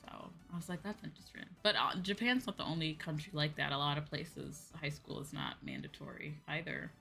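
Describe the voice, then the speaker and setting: A woman streamer chatting casually and answering viewers in an animated, conversational way, often repeating phrases for emphasis. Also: low-pitched, fairly quick, vocal fry. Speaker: VTuber streamer; high school teacher. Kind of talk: casual livestream chat, replying to viewers. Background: background music.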